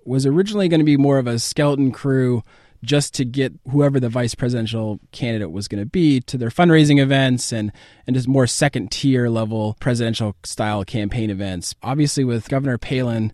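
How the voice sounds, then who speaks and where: A man's voice: mid-range voice, darkish tone, no echo, clean studio sound. A man with an American accent giving conversational answers as an interview guest. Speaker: interview guest, political campaign advance man.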